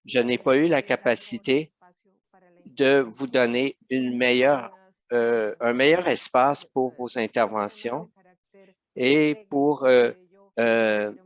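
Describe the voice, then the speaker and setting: Male interpreter voicing a webinar live; slow, halting, mid-range voice. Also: mid-range voice, fairly even intonation. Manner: hesitant, many fillers and restarts.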